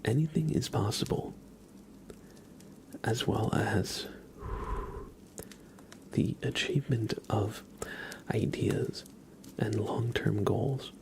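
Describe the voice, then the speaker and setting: A man hosting an ASMR livestream in a hushed, breathy whisper, chatting casually with frequent pauses, close to the microphone. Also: fairly low pitch. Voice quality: breathy whisper with creaky voiced patches. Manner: unhurried, hushed, pausing often. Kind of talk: whispered ASMR, casual tarot card reading and chat with viewers.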